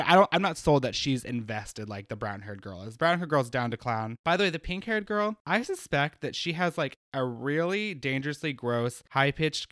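A man chatting on a podcast, rambling through his points with frequent fillers and giggles. His voice sits at an average pitch and is recorded close and dry, with no room sound. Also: fairly bright tone, no echo. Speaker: podcast co-host. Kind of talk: casual chat.

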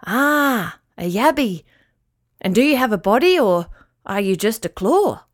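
A woman voicing a friendly old male dog in a children's story, speaking gently, politely and reassuringly. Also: a little high, big pitch swings, a little slow.

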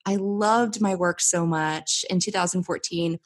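A woman's voice: lowish voice, fairly even intonation, a little slow. A woman in her thirties, originally from the United States, telling her business story with upbeat energy as a podcast guest. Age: 30s. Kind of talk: conversational interview storytelling.

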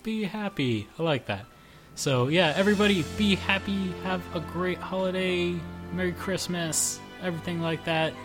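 A man's voice: high-pitched, normal speed, over music. Playful young man hosting a podcast. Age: 20s. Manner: joking, rambling, playful. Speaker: podcast host. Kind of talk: casual group chat.

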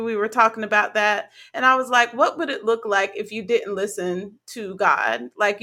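A young woman hosting a faith-based podcast interview, with a raspy, hoarse voice, talking excitedly with lots of fillers and frequent laughter. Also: mid-range voice. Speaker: podcast host.

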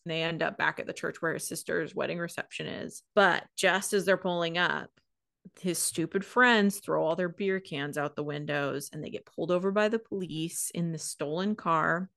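A woman's voice: on the low side, moderate pace, creaky, fairly bright tone, dry recording, studio quality. A woman co-hosting a podcast, casually retelling and commenting with informal, wry asides. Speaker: podcast co-host.

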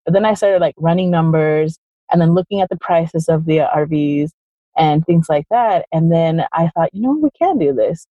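A woman's voice: deep voice, animated, moderate pace, no echo. A woman who was born in Chile and raised in the United States, a guest telling her story in a rambling, casual way with lots of fillers. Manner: rambling, lots of "kinda" and "like", quotes her own thoughts. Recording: dull, dry sound.

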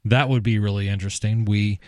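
A man's voice: on the low side, steady tone, fairly quick, dry recording, studio quality. A man hosting a talk radio show, steadily recapping topics and taking calls. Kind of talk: casual talk radio chat. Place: radio studio. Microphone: studio mic, close.

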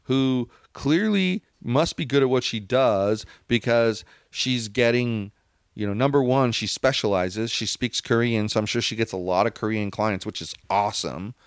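A man's voice: medium pitch, moderate pace, dry recording, clean studio sound. A man co-hosting a casual podcast, arguing his points emphatically and bickering with his co-host. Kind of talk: casual opinionated chat.

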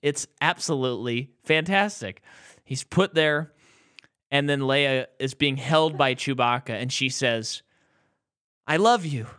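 A man's voice: medium pitch, measured pace, bright, forceful tone, clear, resonant tone. A man co-hosting a podcast, chatting casually about a movie and acting out quotes with animation. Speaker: podcast co-host.

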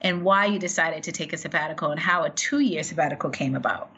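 A woman's voice: low-pitched, fast, pushed and bright, slightly rough, lo-fi sound. A woman co-hosting an interview, asking questions in an upbeat, laughing manner. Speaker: podcast co-host. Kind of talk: casual interview chat.

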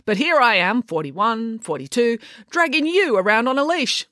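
A woman voicing a cheeky, tireless schoolgirl in a children's storybook, teasing briskly as she exercises. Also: a little high, animated, a bit bright, no echo, clean studio sound, pitched above the performer's own voice. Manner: brisk, teasing, counting between remarks.

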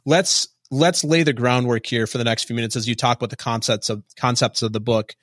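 A man's voice: medium pitch, steady tone, fairly quick, fairly bright tone, dry recording. Guy hosting a podcast, keeps nudging his guest w questions, dry close mic. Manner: guides the guest with repeated prompts. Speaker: podcast host. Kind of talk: interview conversation.

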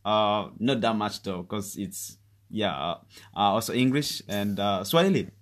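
A young man from Rwanda with a Rwandan accent, Kinyarwanda his first language, speaking as a podcast guest in a hesitant way, with many pauses and fillers. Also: fairly low pitch. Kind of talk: casual interview chat.

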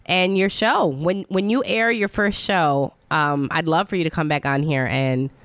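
A woman with a low, warm-sitting voice who grew up speaking Italian hosts a loose podcast conversation. She talks in an animated, self-deprecating way and laughs a lot. The sound is close and dry. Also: measured pace, no echo. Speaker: podcast and radio host. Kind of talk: casual podcast chat.

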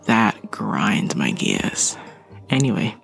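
Gravelly-voiced man, non-native English speaker. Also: lowish voice, wildly swooping pitch, slow pace, fairly bright tone, faint music behind. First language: not English. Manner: chatty, self-aware, joking asides. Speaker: podcast host. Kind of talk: reading listener letters with casual asides.

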